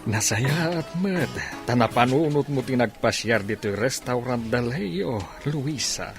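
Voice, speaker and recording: A man acting a lively, lovestruck uncle courting a woman in an Ilocano radio drama. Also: medium pitch, unhurried, music in the background. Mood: earnest, courting.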